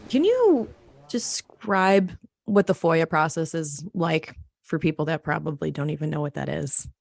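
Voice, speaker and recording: A woman's voice: low-pitched, expressive, measured pace, gravelly, darkish tone. A woman interviewing a guest on a podcast in a conversational style.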